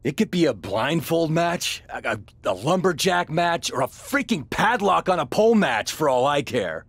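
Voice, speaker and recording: An actor playing an arrogant, scornful champion wrestler in a video game, with a husky voice and a cocky, sneering delivery. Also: male voice, on the high side, very animated, moderate pace, fairly bright tone, no room sound, full character voice. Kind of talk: video game cutscene acting.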